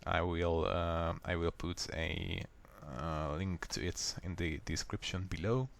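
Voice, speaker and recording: Slow, deep-voiced Hungarian-accented man. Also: fairly even intonation, gravelly, slight background noise. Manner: unhurried, plain, step-by-step explaining. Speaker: software tutorial maker. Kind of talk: screen-recorded how-to walkthrough.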